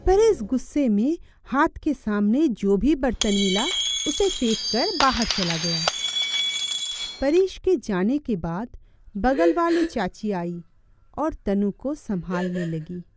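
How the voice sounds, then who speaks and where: A woman's voice: fairly high pitch, very animated, slow, soft background music. A woman narrating a scripted moral story.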